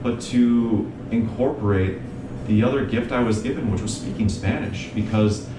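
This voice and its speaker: A young man, a mental health provider, telling his story in an earnest, measured way as an interview guest. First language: English. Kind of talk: reflective interview talk. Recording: echoey room, distant mic, noisy rumble.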